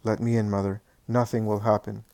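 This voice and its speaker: A man voicing a calm, kind and resolute teacher who speaks gently but firmly. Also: on the low side, a little fast, creaky, no echo.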